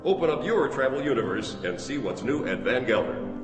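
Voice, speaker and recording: A man's voice: medium pitch, sing-song, fairly quick. A man reading an upbeat radio commercial in an echoey hall with music behind him. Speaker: commercial announcer.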